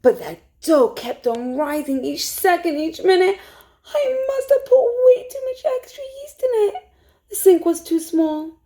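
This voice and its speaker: A woman storytime teacher reading a rhyming picture book aloud to young children in an expressive way. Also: extremely high pitch, measured pace. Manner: expressive, hushed suspense, asks listeners questions.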